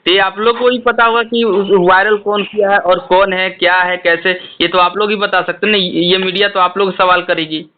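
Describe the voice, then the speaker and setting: Male interviewer, high-pitched voice, putting questions over a thin telephone connection. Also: little pitch movement, moderate pace, few pauses, a little hiss or hum. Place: phone call. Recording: tinny phone call recording.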